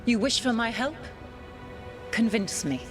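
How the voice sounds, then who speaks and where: A scornful, ancient goddess. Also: female voice, a little high, lively intonation, a little slow, music in the background. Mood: imperious. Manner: commanding, scornful. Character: imperious, wounded goddess fragment, ancient and proud. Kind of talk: video game cutscene acting.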